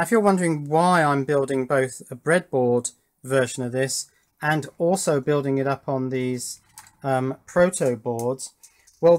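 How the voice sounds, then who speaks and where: Male, electronics hobbyist, unscripted technical explanation, matter-of-fact, medium pitch. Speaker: electronics hobbyist video host.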